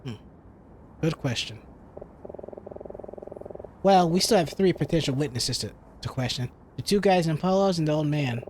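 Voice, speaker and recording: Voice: mid-range voice, darkish tone, a little hiss or hum, natural voice. A streamer voicing a self-doubting young detective student from a visual novel. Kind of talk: visual novel read aloud.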